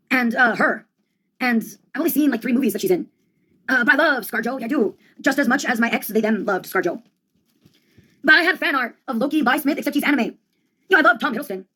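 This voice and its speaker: A young woman comedian giving a rambling monologue in a deadpan, ironic, slangy way. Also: fairly high pitch, husky.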